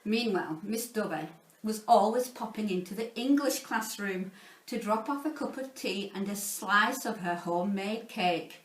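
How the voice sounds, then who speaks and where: A woman reading a children's story aloud in an expressive, playful, theatrical way, like a teacher narrating to pupils. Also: medium pitch, normal speed. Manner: theatrical, playful, dramatizing sound words. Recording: roomy echo, distant from the mic.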